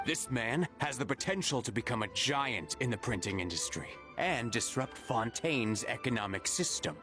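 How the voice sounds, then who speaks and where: Guy voicing a cold hired debt collector in a game, plain mid voice, loud music under him. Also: medium pitch, animated, normal speed, lightly acted. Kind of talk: video game voice acting.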